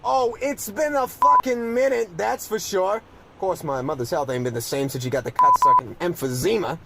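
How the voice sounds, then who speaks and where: A man playing a crude, folksy old sailor in a parody of a 1940s radio play, with a gravelly voice. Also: extremely high pitch, heavy background noise, full character voice. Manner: folksy, swearing, exclamatory delivery. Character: foul-mouthed salty old sailor, cheerfully crude.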